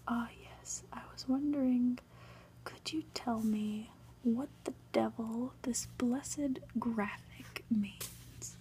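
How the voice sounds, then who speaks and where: A woman voicing a bumbling, befuddled male office boss from a storybook in a breathy whisper, fussy and politely muddled. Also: on the high side, slow, dark tone, a little hiss or hum, rough recording. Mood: flustered.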